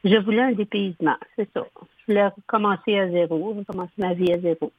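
A woman in her sixties, a native English speaker from Ottawa, speaking French as a guest with a slow, halting manner and frequent throat clearing. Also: mid-range voice, steady tone, no echo. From Ottawa, Canada. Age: 60s. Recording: tinny phone line.

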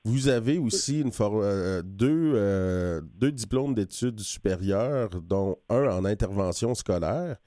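A man's voice: fairly low pitch, expressive, slow, few pauses, mellow, no room sound, clean studio sound. A man hosting a radio interview, asking questions with some hesitation and fillers. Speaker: radio host.

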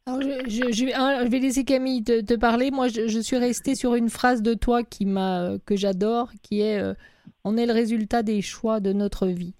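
A woman's voice: a little high, steady tone, normal speed, darkish tone, no room sound, studio quality. A woman co-hosting an interview, enthusiastic and hesitant, with many fillers and restarts. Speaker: interviewer, co-host. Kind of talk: casual interview conversation.

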